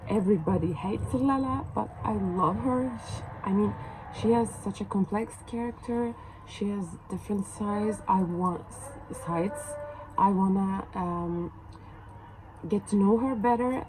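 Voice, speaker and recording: A young woman from Turkey with a Turkish accent, chatting hesitantly to camera as a book vlogger, with many fillers and restarts. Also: mid-range voice. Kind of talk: casual chat to camera. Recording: noisy background.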